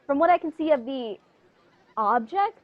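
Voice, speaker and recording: A woman's voice: high voice, expressive, normal speed, no room sound. A young woman playing an earnest male news reporter in a radio play. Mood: awed, flustered. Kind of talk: scripted radio play acting. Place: living room, video call. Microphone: earphones with inline mic. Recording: dull lo-fi video call audio.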